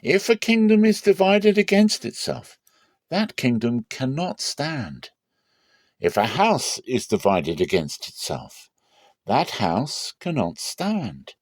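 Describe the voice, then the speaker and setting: A man playing a stern, impassioned preacher in a dramatic Bible reading, speaking emphatically and deliberately. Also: mid-range voice, big pitch swings, no echo, studio quality, voice pushed up. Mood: challenging. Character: commanding male preacher Jesus, stern and impassioned.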